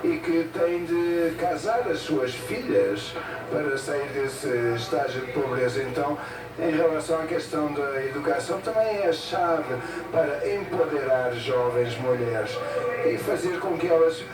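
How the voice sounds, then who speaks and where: A man, interpreting a speech at an event in short, halting phrases with pauses. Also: on the high side, expressive, noisy background. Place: event hall with an audience. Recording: echoey hall from far off, loud music behind.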